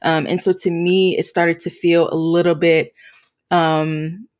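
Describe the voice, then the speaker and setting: A woman speaking as an interview guest, reflective and earnest, measured with pauses. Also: fairly low pitch, flat delivery, a little mellow. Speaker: guest, campus ministry leader.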